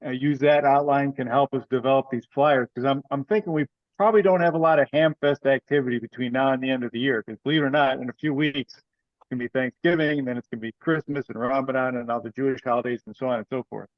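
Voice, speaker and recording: A man's voice: medium pitch, steady tone, fast, dry recording. A man speaking informally and matter-of-factly as he organizes things in a meeting. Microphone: group teleconference call. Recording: dry, dull lo-fi conference call audio.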